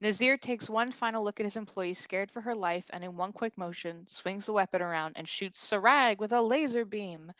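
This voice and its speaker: A woman's voice: medium pitch, moderate pace, hardly any pauses, fairly bright tone, dry recording, rough recording. A woman reading scripted stage directions as narrator at a comedic table read.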